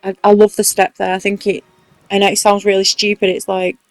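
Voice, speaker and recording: A woman's voice: medium pitch, monotone, fairly quick, no echo, faint music behind. A woman with a strong Mancunian accent, casually talking through a game building tutorial step by step. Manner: chatty, explaining step by step. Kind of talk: casual commentary over gameplay.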